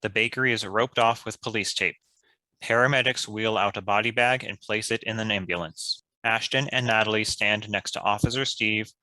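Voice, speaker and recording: Bright, dry male script narrator. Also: fairly low pitch, dry recording, rough recording. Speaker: stage-direction narrator. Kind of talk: scripted narration, reading screenplay directions.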